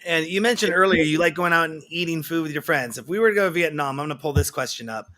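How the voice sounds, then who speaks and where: A man's voice: on the high side, fast, sharp tone. A man hosting an interview, reading viewer questions out and rephrasing his own questions loosely. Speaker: interview host.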